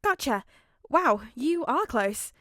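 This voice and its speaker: A woman's voice: high voice, sing-song, slow pace, fairly bright tone, dry recording, clean studio sound, full character voice. A woman voicing a capable, kind but stubborn teenage boy in a storybook reading. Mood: cheery, warm. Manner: focused, switching from stern to cheery.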